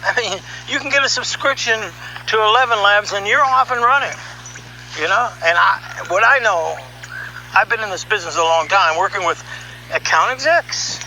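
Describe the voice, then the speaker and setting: Bright, high-pitched man telling a story. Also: normal speed, slight background noise, rough recording. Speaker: narrator, voiceover producer. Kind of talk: conversational first-person storytelling.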